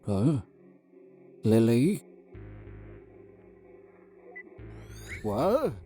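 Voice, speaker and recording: A man voicing a friendly elderly man who speaks slowly and politely, with small hesitations. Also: mid-range voice, sing-song, dark tone, soft background music, full character voice. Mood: confused. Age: older.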